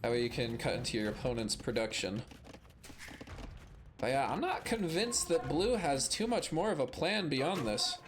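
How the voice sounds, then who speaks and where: A hoarse man with a cold commentates a strategy match in a relaxed, casual way. His steady analysis is broken by sudden excited exclamations, and he clears his throat every so often. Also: medium pitch, moderate pace, a little hiss or hum. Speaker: strategy game caster. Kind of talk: casual play-by-play game commentary.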